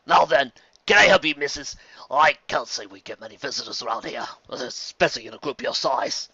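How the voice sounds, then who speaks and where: Man putting on a gruff, folksy old stallion barkeep. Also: expressive, a little fast, bright, forceful tone, no room sound, muffled recording, heavily acted. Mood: busy, welcoming. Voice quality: deep, brassy voice. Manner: folksy, hospitable. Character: corpulent old stallion bartender, gruff but welcoming.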